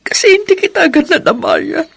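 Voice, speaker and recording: A woman playing a loving middle-aged mother in a Filipino radio drama, gentle and emotive. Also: very high voice, lively intonation, a little fast, vocal fry, full character voice. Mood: tender, apologetic.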